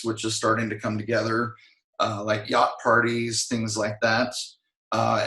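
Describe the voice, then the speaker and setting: A man being interviewed, chatting casually and a little hoarse, filling his talk with "I mean" and restarts. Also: mid-range voice, sharp tone, reverberant, low-quality recording. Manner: rambling, frequent "I mean" fillers. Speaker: interview guest, singer.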